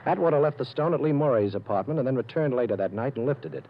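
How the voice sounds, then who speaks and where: Confident amateur sleuth, rapid clipped delivery, vintage radio drama, dull noisy sound. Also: male voice, mid-range voice, lively intonation. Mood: brisk, explaining. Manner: brisk, clipped, unflappable. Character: quick-witted male amateur detective, cool and confident. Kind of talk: 1940s radio detective acting. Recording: muffled, noisy old radio recording.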